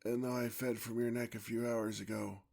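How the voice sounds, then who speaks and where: A man playing a weary, tender, courtly male vampire in an ASMR roleplay, with a husky, gravelly voice and formal, old-fashioned speech. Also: mid-range voice, little pitch movement, normal speed, darkish tone.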